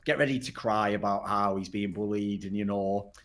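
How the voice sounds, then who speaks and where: A man's voice: fairly low pitch, normal speed, fairly bright tone. A man hosting a casual podcast, talking in a blunt, sarcastic way and swearing freely. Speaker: podcast host. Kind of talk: casual talk show hosting.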